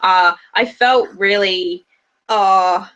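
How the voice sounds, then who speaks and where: A woman's voice: mid-range voice, drawn-out words, fairly bright tone, lo-fi sound. Woman with a half british half aussie accent rambling on a livestream, lots of ums and restarts. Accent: mixed British and Australian. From Australia. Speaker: YouTube anime reviewer, livestream co-host. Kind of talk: casual livestream chat.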